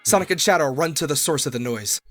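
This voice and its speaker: A man's voice: fairly high pitch, lively intonation, fast talker, a bit bright. A man reading dramatic narration aloud for a comedy fandub, prone to cracking up. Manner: prone to cracking up mid-line. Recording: music behind the voice.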